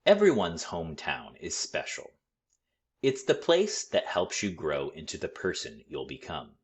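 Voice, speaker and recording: Low-voiced man reading a storybook, very animated. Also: measured pace. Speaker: storybook read-aloud reader. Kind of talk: expressive scripted children's story narration.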